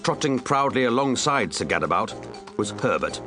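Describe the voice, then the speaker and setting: A man's voice: medium pitch, sing-song, moderate pace, over music. A man narrating a comic children's story with dry, wry asides. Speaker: audiobook narrator.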